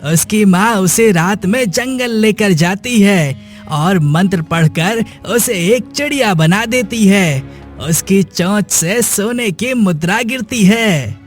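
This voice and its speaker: Man playing a high-pitched, eager village informer. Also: expressive, slow, soft background music. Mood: eager, excited. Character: greedy, excited village informer. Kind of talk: Hindi cartoon moral story acting.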